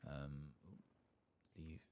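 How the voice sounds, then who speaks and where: A soft-spoken man doing ASMR game commentary, murmuring and musing aloud to himself at a close microphone. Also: rumbling low, completely flat delivery, drawn-out words, a little hiss or hum. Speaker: host of an ASMR game playthrough channel.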